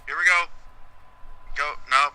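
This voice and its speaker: A man giving excitable, blunt commentary on a wrestling game, swearing freely. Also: fairly high pitch, a little slow, poor audio. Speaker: gaming commentator. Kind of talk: casual let's-play commentary.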